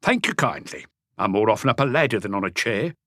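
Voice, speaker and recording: A man's voice: fairly low pitch, very animated, fairly quick, fairly bright tone, no room sound, clean studio sound. A man voicing a wry, evasive old house painter with colloquial speech, in an audiobook story. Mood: cagey, wry. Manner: colloquial, evasive, answers questions with questions. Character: cagey old Parisian workman, stocky house painter, wry.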